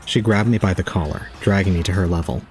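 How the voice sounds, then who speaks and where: A man playing a detached, resigned young student, narrating solemnly and calmly in an audio drama. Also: low voice, quick pace, faint music behind, lightly acted. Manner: solemn, calm, unemotional. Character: detached, resigned young male student recounting his own ordeal. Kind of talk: scripted first-person audio drama narration.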